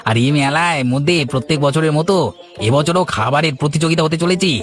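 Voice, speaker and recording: A man's voice: a little high, quick pace, soft background music, close to an everyday voice. A man voicing an earnest village announcer in a cartoon, speaking in an emphatic public manner. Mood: proclaiming. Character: earnest village crier announcing fair news, older brother figure. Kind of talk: cartoon voice acting in a village comedy.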